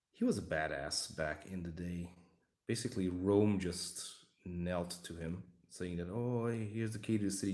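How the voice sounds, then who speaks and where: Hungarian male streamer, very low voice, loose joking ramble, echoey room. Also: a little mellow. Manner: rambling, joking, talking to chat. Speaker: livestream hobby host. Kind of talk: casual livestream chat while building a model.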